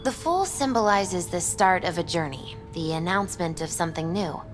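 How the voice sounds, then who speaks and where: A woman acting as an earnest young tarot reader in a video game, speaking in a measured, solemn, mystical manner. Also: lowish voice, expressive, faint music behind, lightly acted.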